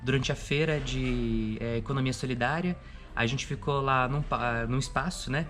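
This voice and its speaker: A man's voice: mid-range voice, moderate pace, a bit bright. A Brazilian man, a radio drama creator, explaining his work in conversational interview talk. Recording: music behind the voice.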